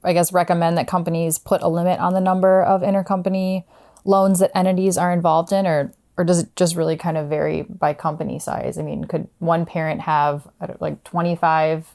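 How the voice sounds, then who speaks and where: Female podcast host, mellow lower voice with vocal fry, casual and friendly, hedges her questions. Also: level tone, a little fast. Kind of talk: conversational podcast interview.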